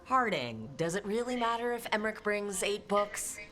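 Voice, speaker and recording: A woman's voice: medium pitch, very animated, moderate pace, fairly bright tone, soft background music. An actress playing a wry, easygoing female adventurer who leads her companions in a video game. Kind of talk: video game character dialogue.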